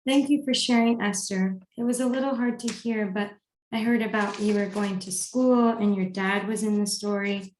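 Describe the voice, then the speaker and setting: A woman's voice: medium pitch, fairly even intonation, normal speed. A woman leading an online group training session, politely giving instructions and prompts to participants. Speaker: training facilitator. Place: online video call. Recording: roomy, echoey video call audio.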